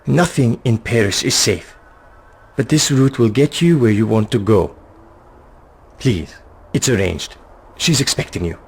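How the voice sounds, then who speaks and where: Gravelly, shifty male informant. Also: mid-range voice, expressive, a little slow, pauses often, heavily acted. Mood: eager, uneasy. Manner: anxious, defensive, hesitant. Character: nervous, shifty male informant, eager to placate. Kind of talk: video game cutscene voice acting.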